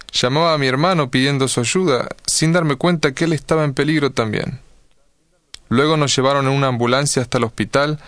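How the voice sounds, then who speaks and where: Brief: man, mid-pitched, earnest read testimony, dry sound. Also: no room sound. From Argentina. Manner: earnest, steady storytelling.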